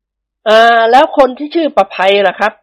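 A woman's voice: on the high side, animated, normal speed, pitched above the performer's own voice, lightly acted. A woman voicing a cheeky, flustered young man who speaks politely but nervously in a storybook reading.

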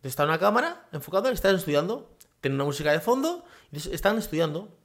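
A man's voice: a little high, very animated, fast. A man hosting a casual solo podcast from his home office, rambling with many fillers and tag questions. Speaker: podcast host.

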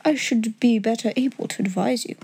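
A woman's voice: fairly high pitch, brisk, dark tone, breathy edge. A woman voicing a languid, incisive male detective in an audiobook story, with a dry, clipped, self-assured manner.

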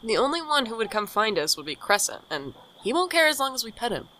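A woman's voice: a little high, very animated, fast, pushed and bright, rough recording. A woman playing a young, caring friend with dry, casual banter in an audio drama. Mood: wry, easy. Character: young Micah, teasing, caring friend and host. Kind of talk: naturalistic audio drama acting.

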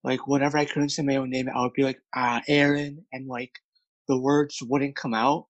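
A man's voice: mid-range voice, little pitch movement, normal speed, no room sound, highs cut off. A man who stutters, with repeated words and many fillers, speaking candidly as a guest about his work as a speech coach. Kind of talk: candid interview conversation.

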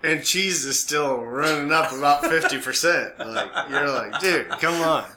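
A man's voice: on the high side, a little slow, pushed and bright, echoey room. An American man co-hosting a podcast, riffing casually in a goofy, rambling way with folksy slang. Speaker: podcast co-host. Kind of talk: casual chat, comic riffing. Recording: faint background noise.

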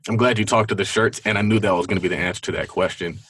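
A male podcast host, brisk, firing off quick questions. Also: on the low side, fairly bright tone, slightly rough, no echo. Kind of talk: casual podcast interview.

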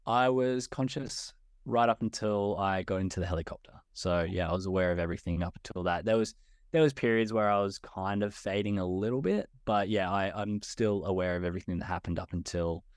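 A young Australian man, a guest in a podcast interview, speaking in a relaxed way. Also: low voice, brisk, no echo, studio quality. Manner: relaxed, many fillers and restarts. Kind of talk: conversational interview.

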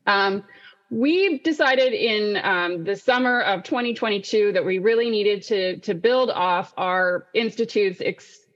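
A woman's voice: medium pitch, highs cut off. A woman hosting an online panel, presenting in a semi-scripted webinar style. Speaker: panel host and moderator.

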